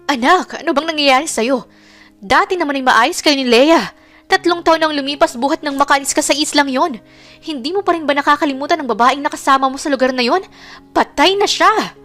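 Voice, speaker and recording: Woman playing a high-pitched, nagging older mother. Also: fairly quick, a bit bright, soft background music. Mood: exasperated scolding. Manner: insistent, scolding. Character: nagging older mother of a governor, exasperated matriarch. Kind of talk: Tagalog romance audio drama acting.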